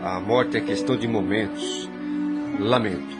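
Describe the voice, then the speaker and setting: A male actor plays a doctor giving grim verdicts in an audio drama. He is blunt and grave, with a lowish voice. Loud music runs over his speech. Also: a little slow, close to an everyday voice. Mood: grave, resigned.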